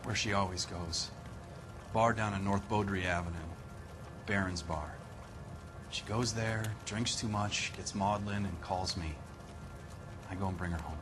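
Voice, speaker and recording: An American actor playing a defensive, resentful husband under police suspicion in a video game, speaking plainly. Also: male voice, sits low, unhurried, long pauses, over music. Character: defensive, resentful husband suspected of killing his wife. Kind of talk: video game dramatic acting.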